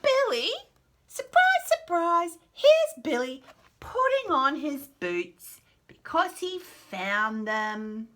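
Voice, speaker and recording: A woman with an Australian accent reading picture books aloud to toddlers in an animated, sing-song way, with chatty asides and questions to the child. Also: high-pitched, slow pace, a little hiss or hum. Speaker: children's picture book read-aloud host.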